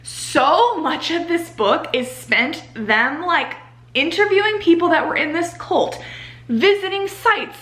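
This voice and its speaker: A young American woman giving a casual, chatty book review in an animated, exasperated ranting manner, saying "like" a lot. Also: very high voice, slow. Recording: roomy home echo.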